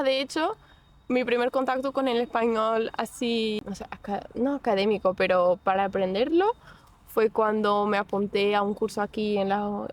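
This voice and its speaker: A young woman chats in an unhurried, halting way, often stopping to restart a thought. Her voice sits at an ordinary middle pitch. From Portugal. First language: Portuguese. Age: young adult. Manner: hesitant, many restarts and fillers. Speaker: guest. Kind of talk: casual interview.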